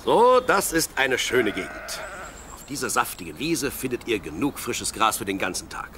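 Man playing animated young shepherd boy. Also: medium pitch, normal speed, background noise, lightly acted. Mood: contented. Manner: playful, expressive, talks to himself and his sheep. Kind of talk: audio play acting.

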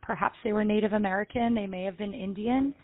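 A middle-aged woman playing an anxious, pious mother on a comedy prank call, speaking haltingly and pleadingly. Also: medium pitch, steady tone, phone-quality sound, heavy background noise, lightly acted. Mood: earnest, solemn. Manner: hesitant, halting, pleading, earnest. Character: flustered, pious, anxious mother seeking advice. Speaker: prank caller on a comedy show. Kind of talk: improvised prank phone call.

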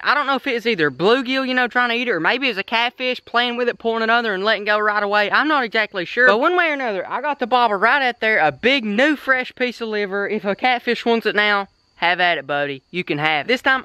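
Excitable young man, clear high voice. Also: no echo. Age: young adult. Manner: excitable, folksy, calls viewers boys and son. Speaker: fishing vlogger. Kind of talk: casual on-camera fishing commentary. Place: outdoors at a pond bank. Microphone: action camera on body or handheld.

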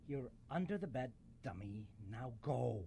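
Grumpy loner toy, played by a man, mid-pitched with big swings, sullen and dismissive, radio drama. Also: expressive, measured pace, dark tone. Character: grumpy, defensive broken male toy, a loner hiding away. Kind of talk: student radio play acting. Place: college radio studio, live broadcast. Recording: clear background noise in radio studio.

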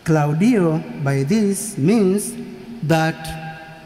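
Slow-preaching priest in an echoey cathedral. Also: male voice, on the high side, expressive, dark tone, soft background music. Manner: slow, measured preaching with long pauses. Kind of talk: funeral homily. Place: large cathedral. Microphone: lectern microphone.

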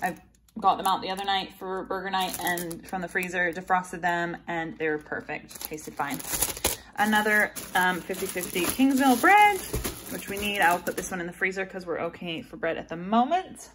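A woman's voice: lowish voice, animated, normal speed, noisy background. A woman in her thirties chatting casually through a grocery haul while handling items in her kitchen. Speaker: vlogger. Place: home kitchen.

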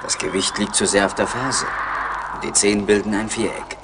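A man acting a solemn, calm young Mohican tracker in a German radio play, speaking in a measured, stately way. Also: on the low side, heavy background noise, natural voice. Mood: observant.

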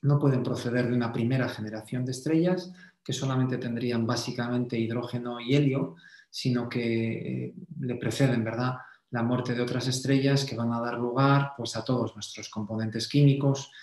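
A man's voice: medium pitch, fairly even intonation, normal speed, darkish tone. Echoey man giving a lecture. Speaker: Jesuit speaker giving a conference talk. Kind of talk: slide presentation lecture, explanatory. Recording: roomy, echoey voice pickup.

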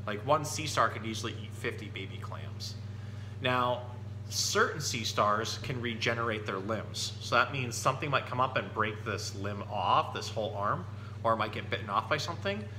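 A man in his thirties presenting to children as an enthusiastic, explanatory storytime host. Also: mid-range voice. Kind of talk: casual educational talk for children, picture-book reading. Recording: roomy echo, steady background hum.

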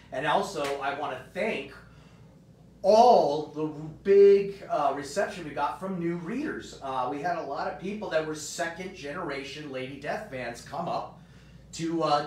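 A hoarse man hosts a live stream in upbeat, rambling chat full of fillers. His voice sits off the mic in a roomy space. A faint rumble runs underneath. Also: distant mic. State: hoarse, voice partly gone. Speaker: host of a live comic shop stream.

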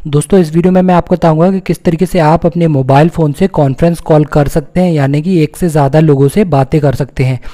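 Man, Indian accent, slightly high pitch, relaxed mellow tone, tutorial host, simple repeated explanations. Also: fast talker, hardly any pauses. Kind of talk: step-by-step phone tutorial narration.

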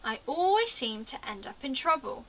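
A woman voicing an eager, heedless little boy puppy in a storybook, childlike and expressive. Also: sits high, normal speed, heavily acted, poor audio. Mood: glum.

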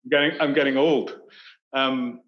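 A man's voice: medium pitch, moderate pace. Scottish man on a muffled call. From Scotland. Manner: animated, gestures with hands, many restarts. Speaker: architect guest. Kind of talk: casual interview chat. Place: indoor room on video call. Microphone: video call webcam. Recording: dull lo-fi call audio.

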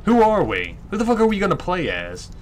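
A man's voice: high-pitched, wildly swooping pitch, a little fast, a little hiss or hum. A man hosting a let's play with casual, rambling gameplay commentary and some swearing.

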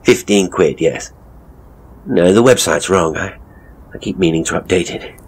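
A man's voice: deep voice, a little slow, slightly rough. A man playing a hapless, seedy ghost tour guide in an audio horror story, with a British accent and a pompous, florid, leering manner. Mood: pompous, ingratiating.

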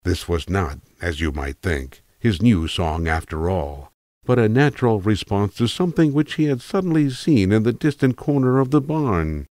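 A man narrating a literary tale in a measured, wry, precise manner, as a voice actor reading from a script. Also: on the low side, animated, a little mellow, no room sound.

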